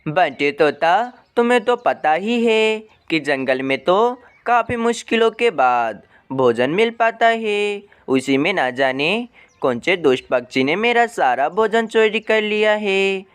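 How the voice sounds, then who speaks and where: A worried mother bird from a cartoon fable, acted out by a man. The voice is high and very clear, with a lively swing in pitch. It pleads and laments with tender sorrow. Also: measured pace. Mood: pleading. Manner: plaintive, lamenting. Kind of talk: cartoon moral story acting.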